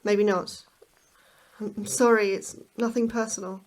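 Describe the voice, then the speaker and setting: A woman's voice: mid-range voice, level tone, a little slow, natural voice, rough recording. A woman acting an anxious, prickly astrobiologist in a naturalistic audio drama, hesitant, blunt and defensive. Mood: apologetic, flustered.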